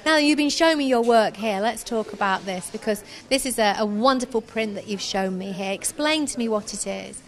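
A middle-aged woman hosts a live broadcast with a fairly high voice. She talks in a breezy, chatty way, throwing in friendly remarks, close on a handheld microphone in a busy pub. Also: fairly quick, few pauses. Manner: breezy, chatty, compliments the guest. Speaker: radio presenter. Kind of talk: live radio interview. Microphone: handheld mic.

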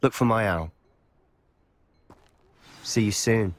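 A man's voice: lowish voice, takes it slowly, background noise. A man playing an intense, stubborn, protective teenage schoolboy in a video game. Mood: determined. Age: young adult. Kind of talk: video game dialogue acting.

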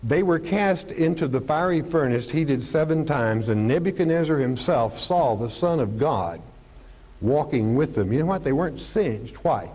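Older man pastor on a lapel mic, warm, low-key tone, animated Bible teacher. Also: normal speed. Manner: animated, gestures constantly while teaching; poses rhetorical questions and answers them. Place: studio stage with podium and curtain.

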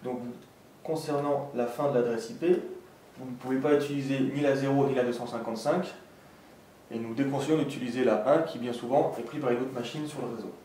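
Guy doing a methodical tech how-to, soft dark tone, super echoey room. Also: medium pitch, steady tone, brisk. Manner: instructional, methodical. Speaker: tutorial presenter. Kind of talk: step-by-step technical product tutorial. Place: indoor room with desk and green backdrop. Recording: echoey room, distant mic, faint noise.

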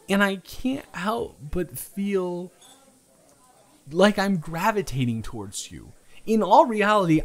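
A young man playing an earnest, flustered romantic lead in an improvised audio roleplay, speaking in a halting, emphatic, stammering way. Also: high-pitched, full character voice. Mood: pleading, exasperated.

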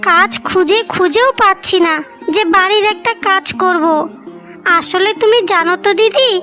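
A woman's voice: extremely high pitch, normal speed, pushed and bright, faint music behind. A woman playing a boastful, haughty younger sister-in-law in a moral-story cartoon, with a taunting, showy manner. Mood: mock pity.

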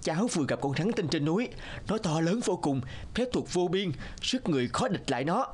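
Casting: merry, quick-witted young man in an animated folktale, very expressive pitch. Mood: eager. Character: clever, merry young travelling actor in old Japan. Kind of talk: cartoon voice acting.

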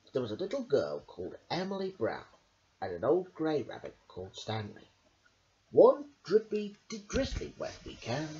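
A storyteller reads a picture book aloud for children. The delivery is dramatic and swings widely in pitch, with the odd stumble. The recording is dull and lo-fi, like a handheld camera microphone. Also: darkish tone. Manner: dramatic, stumbles over words now and then. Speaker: storybook reader.

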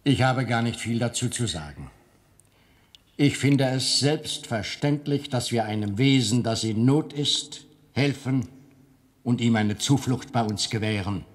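An actor playing a reasonable, noble council member in a radio play, speaking in an earnest, measured way. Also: male voice, medium pitch, close to an everyday voice. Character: noble, reasonable male spring day pleading at council.

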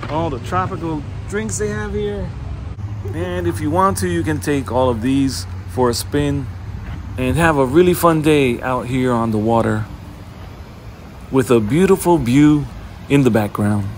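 A man's voice: a little high, measured pace. A man in his forties, upbeat and enthusiastic, giving a casual vlog tour with a camera at arm's length. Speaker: travel vlogger. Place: outdoor hotel pool area and indoor hallways. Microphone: handheld camera at arm's length. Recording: loud music and crowd behind. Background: music and crowd noise.

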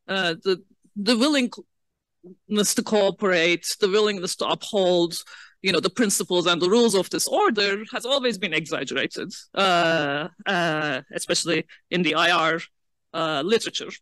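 Woman from Turkey, panelist; bright, crisp delivery, dry close mic, thoughtful and filler-heavy. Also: mid-range voice, no echo. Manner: measured, frequent "uh" fillers, occasional soft laughs. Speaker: panel speaker. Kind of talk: academic roundtable remarks. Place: large lecture hall.

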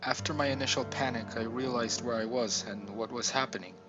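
A man's voice: mid-range voice, fairly even intonation, fairly quick, over music. A man giving a dramatic scripted narration of a horror story. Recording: slightly dull, lo-fi audio.

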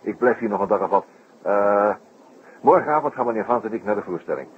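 An actor playing a genial, jovial French detective inspector in an old Dutch radio drama, given to hesitant asides and repeated words. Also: male voice, lowish voice, animated, fairly quick, close to an everyday voice. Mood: courteous. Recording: narrow, noisy old radio recording.

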